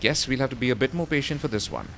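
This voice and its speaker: A man's voice: mid-range voice, very animated, rapid-fire, a bit bright, a little hiss or hum. A man reading a scripted voice-over for a gaming news roundup, in the role of presenter. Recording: music behind the voice. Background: background music.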